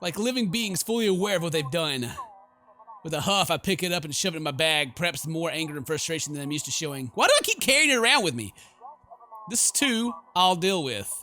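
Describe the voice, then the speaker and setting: A man with a fairly high, very bright voice hosts a horror game playthrough. He narrates the on-screen story dramatically and breaks into exclamatory commentary of his own. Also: lively intonation, a little fast. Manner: theatrical, exclamatory when reacting. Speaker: gaming video host. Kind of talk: horror game let's play, reading the story aloud with reactions.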